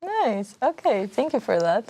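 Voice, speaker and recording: A young woman from Portugal hosting a live student talk show, upbeat and chatty, with quick bursts of speech. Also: on the high side, very animated, dark tone. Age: young adult. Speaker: host.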